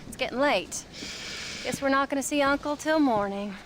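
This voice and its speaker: A woman plays a middle-aged frontier mother in a Western game, with a high American voice. She is sardonic, teasing and sharp-tongued, with clear noise around her. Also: normal speed, lightly acted. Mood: dry, unworried. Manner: sarcastic, mock-sweet, teasing. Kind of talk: video game cutscene acting.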